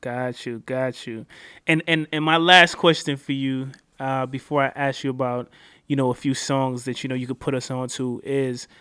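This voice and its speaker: A man's voice: mid-range voice, steady tone, moderate pace, bright, forceful tone, smooth, dry recording, studio quality. A man hosting a casual interview, laid-back, asking long rambling questions full of "you know" and "like". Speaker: host.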